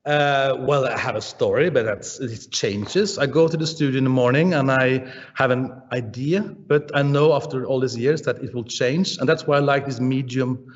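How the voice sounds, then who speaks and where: A man with a Danish accent, a painter answering interview questions in English, pausing often and searching for his words. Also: medium pitch. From Denmark. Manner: halting, searching for words, frequent "uh" and restarts. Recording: dull, roomy sound with long reverb.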